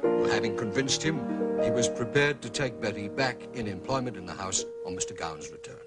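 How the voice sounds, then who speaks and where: An actor playing a discreet, loyal gentleman's manservant, measured and deferential, in a period detective drama. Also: fairly low pitch, close to an everyday voice. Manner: measured, deferential, precise wording. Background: prominent music.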